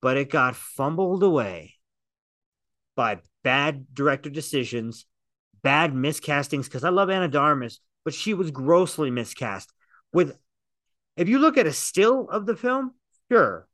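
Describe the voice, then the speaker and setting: A man's voice: on the high side, sing-song, measured pace, fairly bright tone, no room sound, clean studio sound. A man hosting a film podcast in casual chat, enthusiastic and profane, rambling with restarts. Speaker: podcast host, film reviewer.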